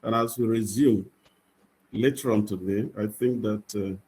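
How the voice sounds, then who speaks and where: Low-voiced hesitant man on a video call. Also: steady tone, unhurried, a little mellow, slight background noise. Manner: hesitant, many fillers. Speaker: regional representative. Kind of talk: meeting remarks on a video call.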